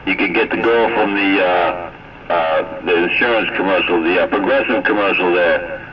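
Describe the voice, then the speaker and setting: A man's voice: low-pitched, normal speed, a loud crowd. An American man in casual CB radio chat, folksy and wry, with colloquial turns of phrase. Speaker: CB radio operator. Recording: muffled, noisy CB radio transmission.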